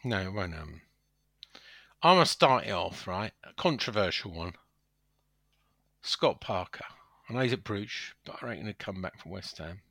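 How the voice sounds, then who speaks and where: A man banters about football on a podcast. His voice is bright and forceful with very animated intonation, recorded dry. He is blunt and likes to cut in. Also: deep voice, moderate pace, pauses often, no echo. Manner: blunt, interjecting. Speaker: podcast panellist.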